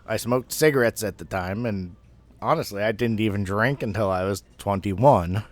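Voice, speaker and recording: A man in his thirties telling a personal story casually, in a rambling, halting way with some swearing. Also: fairly low pitch, dry recording. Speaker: amateur storyteller.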